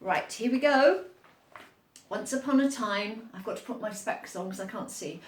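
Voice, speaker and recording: A woman reading picture books aloud to young children in an animated storytime style, pausing to explain and ask encouraging questions. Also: mid-range voice, echoey room.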